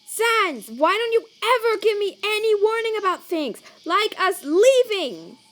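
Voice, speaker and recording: A woman's voice: extremely high pitch, big pitch swings, a little slow, a bit bright, exaggerated voice. A woman voicing a fussy, theatrical male skeleton in a comic dub, speaking emphatically and precisely. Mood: indignant. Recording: cricket night ambience behind.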